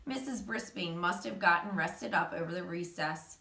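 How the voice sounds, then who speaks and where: A woman reading a children's chapter book aloud in an expressive, animated storytelling manner. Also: fairly low pitch, normal speed, gravelly. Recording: roomy echo, distant microphone.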